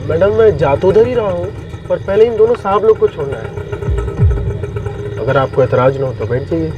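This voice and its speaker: A man's voice: sits high, animated, moderate pace, recorded from a distance, dull audio. A man playing an evasive, curt auto-rickshaw driver in a TV crime drama. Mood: casual. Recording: loud street and vehicle noise, off mic.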